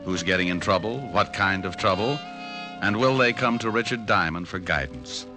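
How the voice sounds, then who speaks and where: A man's voice: low voice, moderate pace, lightly acted. An actor playing a wry, world-weary private detective, telling his story in slangy old-time radio narration. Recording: old radio recording with music behind. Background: music.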